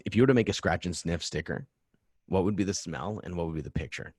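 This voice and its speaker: A man's voice: sits low, expressive, fast, husky, dry recording, studio quality. A man hosting a casual interview in an enthusiastic, affirming way. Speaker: podcast host.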